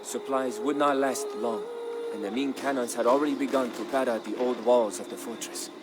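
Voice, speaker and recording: A man reading a scripted, dramatic historical narration in a measured, solemn storytelling manner. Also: mid-range voice, steady tone, background noise. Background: background music.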